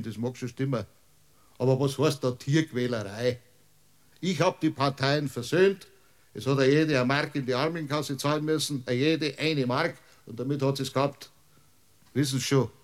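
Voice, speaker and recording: A man's voice: mid-range voice, natural voice. A man playing a rambling Bavarian village mayor. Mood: stubborn, folksy. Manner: rambling, long-winded, stubbornly literal. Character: evasive, folksy village mayor testifying as witness. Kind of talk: radio play acting.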